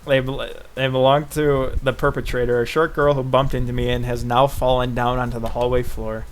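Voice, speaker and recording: A man's voice: mid-range voice, flat delivery, fairly quick, faint music behind. A man casually reading a visual novel aloud in the first person, breaking off into joking asides. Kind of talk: casual game read-along, narrating in first person.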